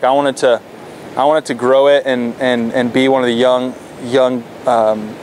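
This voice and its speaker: A man's voice: medium pitch, a little hiss or hum, rough recording. Laid-back teenage guy, lots of ums. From Florida. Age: teens. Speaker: stand-up paddle athlete. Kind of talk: casual interview answers.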